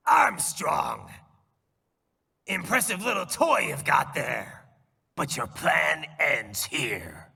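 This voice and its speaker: An actor playing a gruff, defiant American cyborg ninja in a video game, terse and intense with a gravelly, raspy voice. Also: male voice, fairly high pitch, sing-song, takes it slowly, pushed and bright, shouting, in a large hall, exaggerated voice. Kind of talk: video game cutscene acting.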